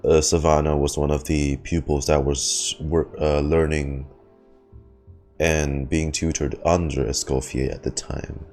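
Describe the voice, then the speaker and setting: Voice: rumbling low, level tone, unhurried, a little mellow, soft background music. A streamer giving casual, rambling, slangy reaction commentary on a game, thinking aloud and speculating.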